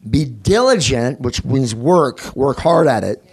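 A man's voice: medium pitch, lively intonation, measured pace, breathy edge. Male preacher teaching scripture, laid-back chatty delivery, chuckles a lot. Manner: chatty, laughs often, repeats words. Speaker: pastor. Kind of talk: teaching sermon.

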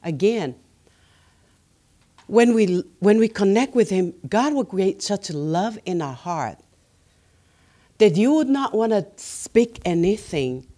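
A woman's voice: medium pitch, animated, slow, pauses often, warm tone. A woman, the pastor's wife, teaching beside him in an earnest, exhorting way. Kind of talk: teaching sermon.

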